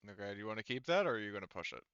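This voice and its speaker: Crisp, forceful low-voiced man. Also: very animated, very fast, no room sound, dull audio. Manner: profane, conversational. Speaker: game master. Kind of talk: tabletop roleplaying session, narrating combat and dice rolls.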